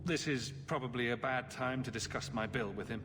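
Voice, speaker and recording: A man's voice: mid-range voice, fairly quick, noisy background, close to an everyday voice. A man playing a sardonic young adventurer hero in a video game, dry-witted and wry.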